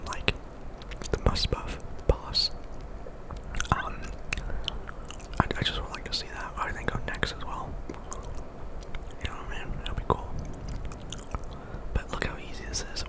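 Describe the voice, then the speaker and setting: A man speaks entirely in a whisper, giving ASMR commentary over gameplay. He rambles casually and conversationally, as if chatting to viewers while he plays. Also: poor audio. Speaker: video game streamer and host.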